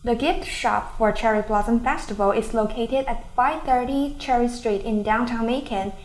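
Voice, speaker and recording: Higher-voiced young woman reporting campus news. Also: darkish tone. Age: young adult. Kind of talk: campus news reporting, scripted narration and stand-ups.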